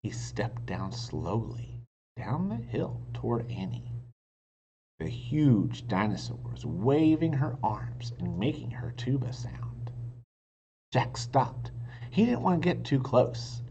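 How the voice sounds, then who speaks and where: A man reading a children's book aloud in an expressive narrating style. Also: mid-range voice, slow pace. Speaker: storybook reader. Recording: dull sound, faint noise.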